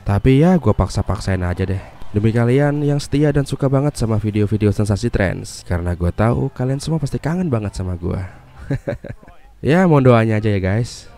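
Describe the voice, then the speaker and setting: Stuffy-nosed Indonesian man, lively swooping recap narration. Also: fairly low pitch, brisk. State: unwell, congested. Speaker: host and narrator of film recap videos. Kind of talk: scripted film recap narration with casual slangy asides.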